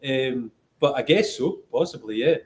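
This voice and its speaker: A man chatting casually in a halting, good-humoured way, starting over as he goes. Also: medium pitch, expressive. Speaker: distillery co-founder. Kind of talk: casual chat.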